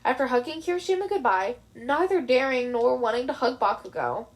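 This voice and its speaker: A woman with a high voice narrates fan fiction. Her reading is expressive, in the manner of an audio story told by a hobby reader. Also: fairly quick.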